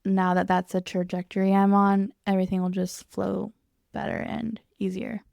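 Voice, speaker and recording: A woman's voice: medium pitch, flat delivery, moderate pace, vocal fry, darkish tone, dry recording, lo-fi sound. A young woman co-hosting a podcast, explaining things in a casual, conversational way. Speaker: podcast co-host.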